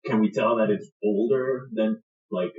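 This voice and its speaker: A man's voice: lowish voice, fairly even intonation, unhurried, a little mellow. A man chatting casually as a player in a tabletop roleplaying game. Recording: roomy, dull lo-fi sound.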